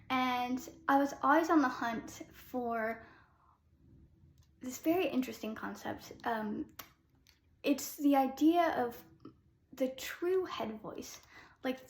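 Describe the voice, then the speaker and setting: High-voiced chatty woman, echoey room. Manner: chatty, many ums, light laughs. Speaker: voice teacher, classical soprano. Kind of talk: casual vocal lesson.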